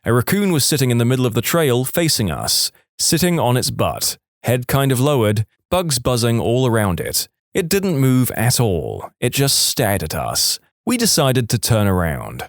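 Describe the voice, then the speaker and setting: A man's voice: medium pitch, sing-song, normal speed, hardly any pauses, no echo, studio quality. A man reading scripted online stories as a narrator, acting out the quoted speech.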